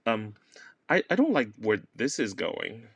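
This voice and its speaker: A man narrating a comic play as a theatrical storyteller, using dramatic pauses. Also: fairly low pitch, very animated, husky, no echo. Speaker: narrator, teacher.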